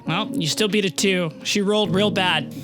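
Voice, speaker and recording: A game master narrates a tabletop adventure over prominent music. The pitch sits in a middle range and the delivery is conversational, peppered with "like". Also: steady tone, moderate pace. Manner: conversational, uses "like" often. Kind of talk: narrating a tabletop roleplay audio drama. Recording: music under the voice. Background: background music.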